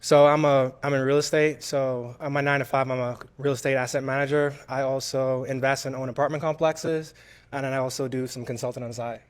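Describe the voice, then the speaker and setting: A man in his thirties from the Bahamas, a dating show contestant giving steady, list-like answers with fillers. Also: medium pitch, brisk, clean tone. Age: 30s. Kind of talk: answering interview questions.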